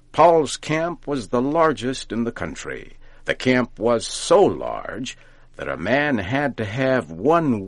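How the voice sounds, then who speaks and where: A man narrating a folk tale from a script, deliberately and with careful enunciation, as if for learners. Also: mid-range voice, no echo. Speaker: storyteller, narrator.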